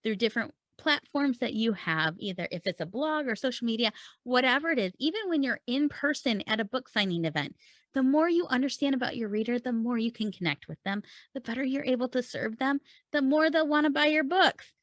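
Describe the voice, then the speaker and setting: A woman's voice: fairly high pitch, lively intonation, a little fast, a bit bright, dry recording. Earnest woman coaching writers, dry sound. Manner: earnest and encouraging, asks many rhetorical questions. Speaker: writing coach and podcast host. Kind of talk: solo teaching talk to camera.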